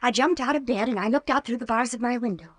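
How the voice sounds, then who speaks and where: A woman's voice: on the high side, quick pace, no echo, lightly acted. An actress playing a frightened, pleading young woman in an old-time radio horror drama. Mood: shaken, insistent. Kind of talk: 1940s radio acting.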